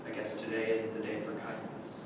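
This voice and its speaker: An actor in a staged reading playing a menacing, bitter Nazi camp guard with a brooding, volatile way of talking. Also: male voice, completely flat delivery, fairly quick, lightly acted. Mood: cold, menacing. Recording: echoey hall from far off, noisy rumble.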